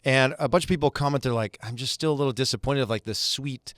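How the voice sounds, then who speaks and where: A man in his thirties co-hosting a holiday podcast, excitable and chatty as he tells a story. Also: medium pitch, fast talker, no echo, clean studio sound. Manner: animated, excitable, lots of fillers. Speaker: podcast co-host. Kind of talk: casual chat, storytelling.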